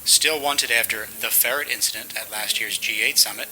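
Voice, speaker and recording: An actor playing a formal, portentous announcer delivering spy briefings in a parody audio drama. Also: male voice, medium pitch, normal speed, pushed and bright, a little hiss or hum, poor audio. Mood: grave, official. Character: crisp, grave male mission-briefing announcer. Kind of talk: dramatic scripted narration, spy-show parody.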